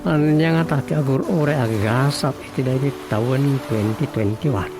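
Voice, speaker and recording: A man's voice: mid-range voice, moderate pace, music in the background, close to an everyday voice. A man acting a grumbling village man in an Ilocano comedy radio drama, muttering and exclaiming to himself.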